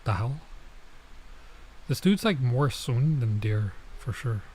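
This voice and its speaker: Young male streamer, relaxed and talkative, medium pitch; commentary over a visual novel. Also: slow, slight background noise, low-quality recording. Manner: chatty, laid-back, lots of "like" and "bro". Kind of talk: casual let's-play commentary.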